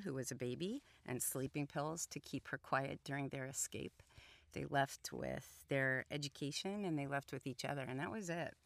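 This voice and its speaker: A woman's voice: low voice, fairly bright tone, clear, resonant tone, no echo. A middle-aged woman from the American Midwest, a guest on a radio interview, talking thoughtfully with frequent ums and restarts. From Columbus, Ohio, United States. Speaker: guest, engineer and entrepreneur.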